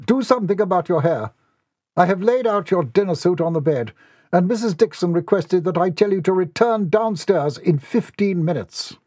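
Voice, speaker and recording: A man's voice: high voice, animated, moderate pace, a little mellow, no room sound, studio quality, pitched above the performer's own voice. A man voicing a formal, earnest butler with a firm manner in a storybook. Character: earnest, exasperated household butler, male.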